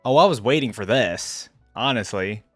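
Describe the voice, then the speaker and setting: A woman's voice: rumbling low, expressive, normal speed, no echo, soft background music. A woman giving casual reaction commentary as a video host, close to the microphone.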